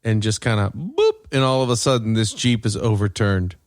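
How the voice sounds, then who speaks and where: A man's voice: on the low side, expressive, moderate pace, no echo. A man hosting a podcast, chatting and telling stories casually with a dry, joking delivery. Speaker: podcast host. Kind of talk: casual chat and storytelling.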